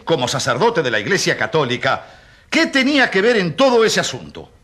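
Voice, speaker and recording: A man's voice: a little high, sharp tone, slightly rough, exaggerated voice. A man playing an indignant, pompous prosecutor in a radio courtroom drama, oratorical and sarcastic, with emphatic rhetorical questions. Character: indignant, pompous male prosecutor in a church trial.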